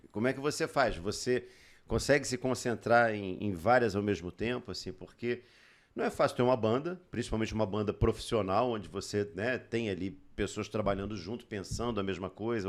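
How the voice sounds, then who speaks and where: A man hosting a podcast who asks his guest questions in a halting, casual way, restarting his phrases. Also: fairly low pitch. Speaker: podcast host and journalist.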